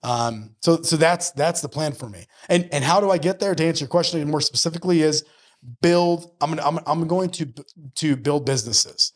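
Man, early 40s, slightly high pitch, earnest, motivational, conversational podcast guest. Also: normal speed. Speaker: podcast guest, mortgage lender and business owner. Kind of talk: conversational interview talk.

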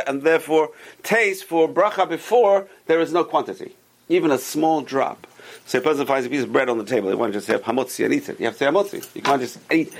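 A man leads a small class around a table, explaining rules slowly and repeating the key points so they sink in. His speaking voice sits a bit higher than most men's. He keeps checking in with his students by asking them questions. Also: creaky. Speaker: Torah teacher. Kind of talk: informal class lecture with group discussion.

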